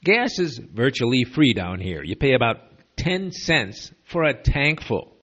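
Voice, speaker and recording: A man with a slight lisp delivers sardonic, rambling commentary in a lively, swooping voice that rises and falls through every sentence. He speaks as a podcast host recording on borrowed gear away from his usual studio, and he sounds casual and opinionated as he wanders off on tangents. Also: mid-range voice, unhurried, muffled recording. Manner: sardonic, digressive, conversational. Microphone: makeshift borrowed equipment.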